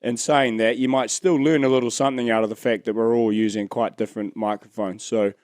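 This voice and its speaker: A man with a mid-range voice, easygoing and relaxed, keeps the conversation moving. The recording is dry with no room sound. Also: medium pitch, fairly quick, no echo. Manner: easygoing, organizing the discussion. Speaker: podcast co-host. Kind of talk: casual chat.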